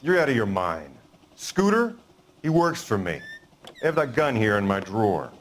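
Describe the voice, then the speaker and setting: A man's voice: fairly low pitch, very animated, moderate pace, a little hiss or hum. A man playing a hostile, defiant crook in a video game, brusque and combative. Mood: angry, defiant. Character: hostile, defiant small-time crook cornered by police. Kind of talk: game cutscene acting.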